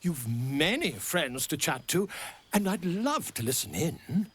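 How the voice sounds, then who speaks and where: An actor playing a flamboyant, self-promoting writer in a video game, theatrical, chummy and wheedling. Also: male voice, on the high side, very animated, slow pace, fairly bright tone, slight background noise, exaggerated voice. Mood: sly, chummy. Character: flamboyant, self-promoting, slyly charming writer and old friend. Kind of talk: video game character acting.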